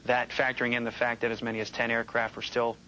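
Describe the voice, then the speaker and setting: A man gives interview answers as an air traffic controller. His voice sits at a middle pitch, with faint noise on the recording. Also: monotone, fast talker, sharp tone, poor audio.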